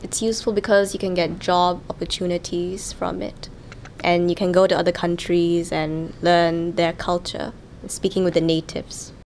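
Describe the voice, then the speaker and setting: A young woman in a student interview, speaking slowly and haltingly with many "um" pauses. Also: lowish voice, flat delivery, darkish tone, a little hiss or hum. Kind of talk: casual interview answers.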